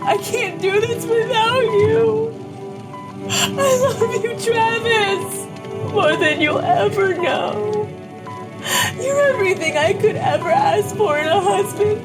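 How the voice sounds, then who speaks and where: A woman's voice: very high-pitched, slow pace. A woman playing a distraught, devoted wife in an audio drama, crying and pleading in anguish. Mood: tearful, loving. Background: loud music.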